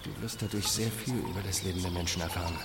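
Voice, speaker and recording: A man's voice: deep voice, steady tone, fast, background noise. Insistent, earnest male programmer. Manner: persistent, plain, earnest. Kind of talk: German radio drama acting.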